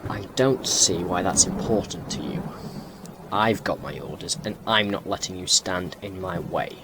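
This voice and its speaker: A man's voice: low-pitched, a little slow. A man playing a menacing alien impostor in a soldier's body, coolly smug and threatening, in an audio drama. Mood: cold menace. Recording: noisy audio drama scene.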